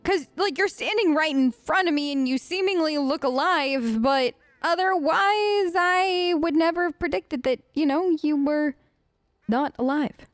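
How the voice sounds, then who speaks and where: A woman gamer hosting a role-play let's play, conversational, expressive and emphatic. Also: high-pitched, unhurried, no room sound. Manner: expressive, emphatic, incredulous asides. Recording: close dry mic, faint game music. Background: faint game music.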